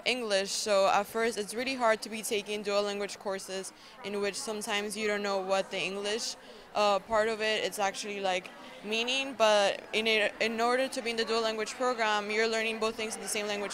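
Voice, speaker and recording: A young woman from Mexico, a native Spanish speaker, giving earnest, steady answers as a student in an interview. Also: mid-range voice, brisk. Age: young adult. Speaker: graduating high school student.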